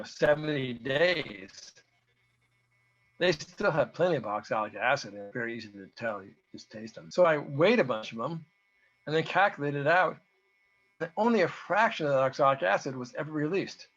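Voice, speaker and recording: A man with a hoarse voice gives an informal, halting technical presentation, explaining data as a researcher. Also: mid-range voice, a bit bright. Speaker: presenter, researcher giving a talk to beekeepers. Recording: dull, lo-fi audio.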